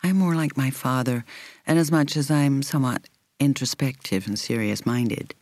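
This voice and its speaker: Measured woman audiobook narrator, very dry sound. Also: extremely low pitch, darkish tone, no room sound. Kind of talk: scripted literary narration, first-person novel reading.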